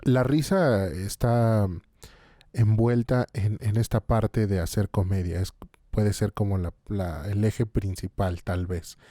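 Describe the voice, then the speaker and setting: A man's voice: on the low side, steady tone, takes it slowly, mellow, dry recording, studio quality. A man hosting a podcast, asking questions in a casual conversational style. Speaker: podcast host.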